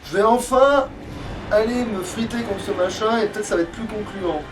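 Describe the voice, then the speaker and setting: A man's voice: high voice, moderate pace. A man doing casual let's play commentary as he plays, grumbling and swearing freely. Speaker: let's play streamer playing the game. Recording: distant mic with background noise.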